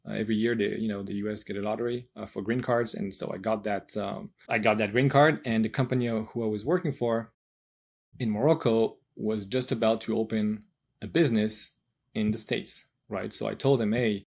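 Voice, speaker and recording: A man's voice: on the low side, steady tone, moderate pace, creaky, darkish tone, slightly rough. A French man in his thirties with a French accent, speaking English as a second language and casually telling his own story as a podcast guest. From France. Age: 30s.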